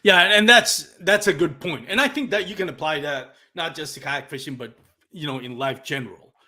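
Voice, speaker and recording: A man's voice: fairly high pitch, brisk, sharp tone. Puerto Rican-accented man hosting a podcast. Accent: Puerto Rican Spanish. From Puerto Rico. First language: Spanish. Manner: reflective, searching for words. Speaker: podcast host. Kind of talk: casual live podcast interview.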